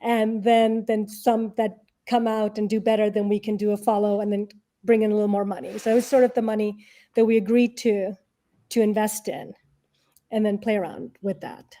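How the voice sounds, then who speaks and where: A woman's voice: medium pitch, level tone, normal speed, mellow. A middle-aged woman giving casual interview answers in a conversational way, often voicing imagined quotes to make her points. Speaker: interview guest, tech product leader and investor.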